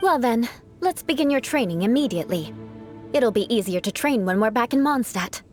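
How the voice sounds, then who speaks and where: Haughty young noblewoman, animated and high voiced by actress. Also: normal speed, over music, natural voice. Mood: composed, amused. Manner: haughty, formal, theatrical. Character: proud young aristocratic female knight, haughty, dramatically vengeful. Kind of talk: video game voice acting.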